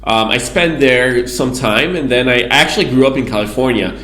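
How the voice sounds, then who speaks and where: A man from Israel answers interview questions in a loose, wandering way, circling back to add context. His voice sits at an ordinary middle pitch. The room around him is echoey. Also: normal speed, bright, forceful tone. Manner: rambling, storytelling with many asides. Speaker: guest, PR agency founder.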